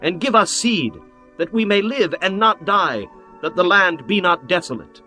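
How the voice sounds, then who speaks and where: A man's voice: sits high, expressive, normal speed, pushed and bright. A man voicing humble shepherds and starving people, pleading deferentially. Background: faint music.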